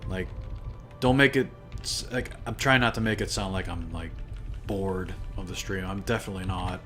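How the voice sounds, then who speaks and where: A man with a deep, low voice, chatting casually while modding on a live stream, talking to himself and sounding tired and flat. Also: normal speed. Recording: music playing behind.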